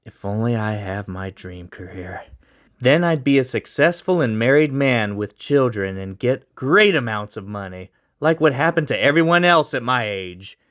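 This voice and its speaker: A man's voice: mid-range voice, animated, moderate pace, fairly bright tone, close to an everyday voice. A man doing a bitter, short-tempered young man. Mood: brooding. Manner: exasperated, snappy.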